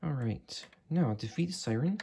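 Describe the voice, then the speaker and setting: A young man in his late teens giving a casual, unscripted product demo in a halting, understated way. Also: fairly high pitch, expressive, vocal fry, warm tone, slight background noise. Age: late teens, young adult. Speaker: hobbyist reviewer. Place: indoor room at a desk.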